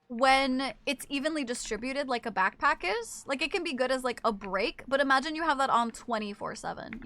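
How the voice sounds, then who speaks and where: High, bright-voiced woman game streamer. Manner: animated, sweary, joking. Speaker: livestreamer playing an online game, chatting with viewers. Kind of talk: casual gaming stream chat.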